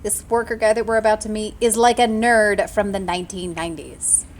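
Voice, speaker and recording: A woman's voice: medium pitch, fairly even intonation, fairly quick, slight background noise. Snarky woman streamer commenting. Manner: snarky, dry, opinionated. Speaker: let's play streamer. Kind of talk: casual game commentary.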